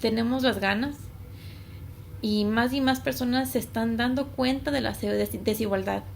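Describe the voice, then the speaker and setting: A woman's voice: fairly high pitch, moderate pace, gravelly. A woman raised in Ecuador and the United States, a guest giving careful, prepared answers and stumbling on longer words. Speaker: guest, consultant. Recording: noticeable background noise.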